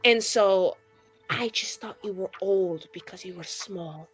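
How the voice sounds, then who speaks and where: A woman's voice: medium pitch, a little slow, husky, faint music behind, voice pushed down. A woman voicing a brash, foul-mouthed young female mage of twenty-two in a rambling, dramatic tabletop roleplay. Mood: sheepish. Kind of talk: improvised in-character roleplay.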